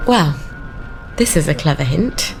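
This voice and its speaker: A dry sarcastic young gal in a video game, game music behind. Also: female voice, sits low, expressive, a little slow, gravelly, heavily acted. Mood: wry. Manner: dry, wry asides. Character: young Englishwoman, wry and sardonic.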